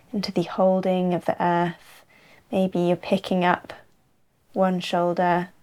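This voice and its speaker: Very slow-speaking woman guiding deep relaxation. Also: mellow. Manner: unhurried, soothing delivery with long pauses. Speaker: yoga nidra teacher. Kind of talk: slow guided relaxation narration.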